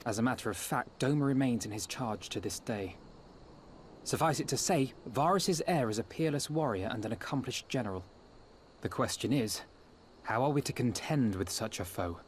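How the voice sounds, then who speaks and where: An actor playing a young scholar-strategist who speaks formally and deliberately. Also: male voice, mid-range voice, expressive, a little hiss or hum, close to an everyday voice. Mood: analytical. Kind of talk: game cutscene voice acting.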